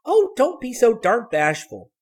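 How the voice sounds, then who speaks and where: Guy doing an excitable bossy teen girl for a storybook, pitched way up, impatient and kinda husky. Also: measured pace, exaggerated voice. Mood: bold, impatient. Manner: bold, impatient, exclamatory.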